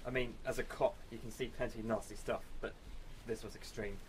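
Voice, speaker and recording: A man's voice: medium pitch, fairly even intonation, brisk, slight background noise, close to an everyday voice, low-quality recording. A man playing a tough, abrupt ex-cop haunted by loss, speaking tersely in an amateur radio drama. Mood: grim, grieving.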